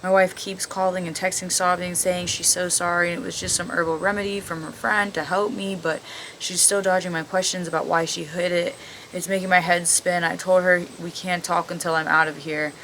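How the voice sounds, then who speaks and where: Low-voiced woman with noisy background. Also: level tone, a little fast, fairly bright tone. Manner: blunt, profane, sarcastic interjections. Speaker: video host reading online stories aloud. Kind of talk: casual commentary while reading stories aloud. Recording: clearly audible background noise.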